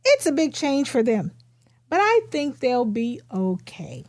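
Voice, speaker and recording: Woman reading as high-voiced little storybook girl. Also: a little slow, no room sound. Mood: earnest, matter-of-fact. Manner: simple, expressive child-story phrasing.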